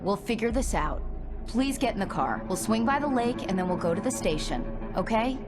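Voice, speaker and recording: Female actor as small-town sheriff, calm, authoritative, medium pitch, game drama, heavy music behind. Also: normal speed, lightly acted. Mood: calm authority. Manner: steady, authoritative, reassuring. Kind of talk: dramatic video game acting.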